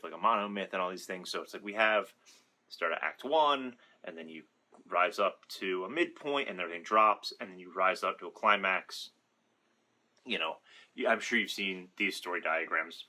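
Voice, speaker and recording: A man's voice: fairly low pitch, pushed and bright. A man giving casual, unscripted opinion commentary in an informal, rambling way, correcting himself as he goes and sometimes swearing. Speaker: video commentator.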